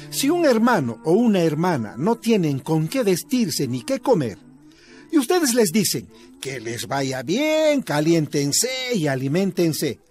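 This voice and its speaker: Brief: a gentle, pious father, high and very expressive voice, faint music. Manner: gentle, preaching. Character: pious male father reading scripture to his daughters. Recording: music playing underneath.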